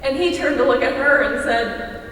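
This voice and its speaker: A woman's voice: high-pitched, moderate pace, a bit bright. A woman performing in a readers theater, telling stories in a conversational way. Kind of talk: conversational stage storytelling. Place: large hall, live stage reading. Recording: echoey hall from far off, faint noise.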